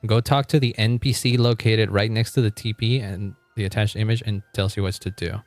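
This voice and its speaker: A man's voice: fairly low pitch, steady tone, a little fast, no room sound, faint music behind. A man livestreaming a game, chatting casually with viewers in a rambling, informal way with some mild swearing.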